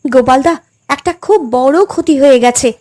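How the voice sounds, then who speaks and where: An actress playing a conscientious, distressed village wife in a cartoon, with a pleading, reproachful way of talking. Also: female voice, high voice, a little fast, a little mellow, lightly acted. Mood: troubled, confiding. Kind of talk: dubbed cartoon dialogue. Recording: music under the voice.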